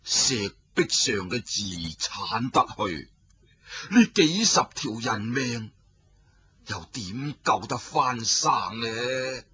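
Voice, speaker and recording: A man voicing an old male Buddhist abbot who speaks in a slow, solemn, heavy way. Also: medium pitch, very animated, bright, forceful tone, slightly rough, heavily acted. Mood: grave. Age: older.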